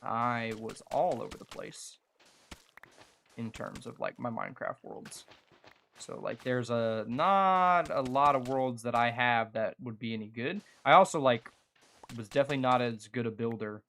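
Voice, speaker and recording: A young adult man streaming a game, chatting casually and earnestly in a rambling, halting way as he thinks out loud. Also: medium pitch, slight background noise. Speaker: gaming streamer and video creator. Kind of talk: casual chat over live gameplay.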